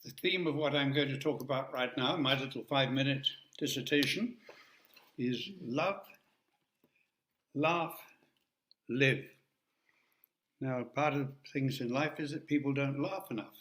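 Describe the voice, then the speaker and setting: A man's voice: medium pitch, a little slow, pauses often, a bit bright, reverberant. An older man giving a chatty, joking talk to an audience, rambling with asides. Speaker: guest speaker.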